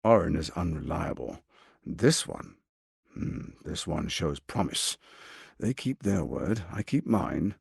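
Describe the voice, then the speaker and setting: An actor playing a suave, scheming tyrant lord in a fantasy video game, speaking in a pompous, silky, mock-courteous and theatrical manner. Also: male voice, low voice, lively intonation, takes it slowly, vocal fry, breathy edge, dry recording, clean studio sound, full character voice. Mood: coolly persuasive.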